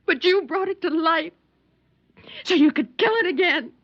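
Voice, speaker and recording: An actress playing a yearning, defiant wife with emotional, deliberate delivery in a 1950s radio drama. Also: female voice, very high voice. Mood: bitter, defiant. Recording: muffled vintage 1950s radio recording.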